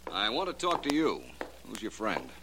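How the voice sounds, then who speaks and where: An actor with an American accent playing a confident, wry, needling detective in a 1950s radio crime drama, speaking crisply and with assurance. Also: male voice, fairly low pitch, huge pitch swings, normal speed, a crowd in the background, rough recording. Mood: cool, explaining.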